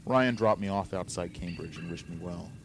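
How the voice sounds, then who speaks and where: A man's voice: low-pitched, normal speed. A man reading a first-person story as narration. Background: music.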